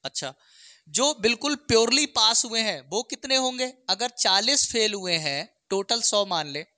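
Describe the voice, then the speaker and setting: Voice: mid-range voice, lively intonation, moderate pace, bright, forceful tone, no echo, clean studio sound. A maths teacher explains exam problems step by step, often checking in with short questions.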